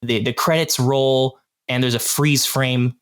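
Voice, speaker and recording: A man's voice: mid-range voice, steady tone, unhurried, a bit bright, clean tone. Man hosting a casual podcast. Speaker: podcast host.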